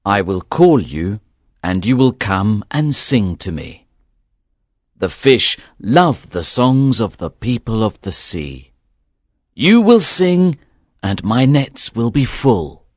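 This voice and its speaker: A woman doing a deep-voiced young fisherman. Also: big pitch swings, slow pace, darkish tone, no room sound, clean studio sound, exaggerated voice. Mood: bargaining. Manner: earnest, plainly spoken lines. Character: young male fisherman, eager and simple. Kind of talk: storybook reading.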